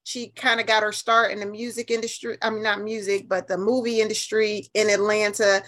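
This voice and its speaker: A woman's voice: medium pitch, little pitch movement, a little fast, fairly bright tone. A woman in her fifties with an American accent, telling stories casually and emphatically as an interview guest. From New Haven, United States. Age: 50s.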